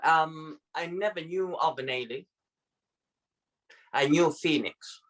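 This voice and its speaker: A man's voice: on the high side, slow pace, fairly bright tone, poor audio. A man originally from Zimbabwe speaking reflectively in a video-call discussion, often repeating words as he searches for them. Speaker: panel participant.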